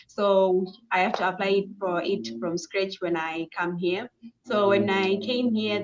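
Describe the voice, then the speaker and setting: A woman's voice: mid-range voice, lo-fi sound. Cheerful Zimbabwean woman, repeating for emphasis. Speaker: guest. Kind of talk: casual interview answers.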